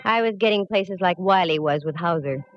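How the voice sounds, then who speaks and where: A woman's voice: lowish voice, moderate pace, faint music behind, close to an everyday voice. An actress playing a tough, wisecracking female private eye in a 1940s radio detective drama, with dry, slangy delivery. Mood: dry narration. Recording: muffled, noisy 1940s radio recording.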